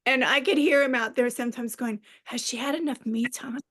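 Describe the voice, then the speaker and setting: A middle-aged woman guest in a casual interview, an animated storyteller who laughs a lot, with a slightly raspy voice. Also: high voice, fairly quick. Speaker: guest, children's author.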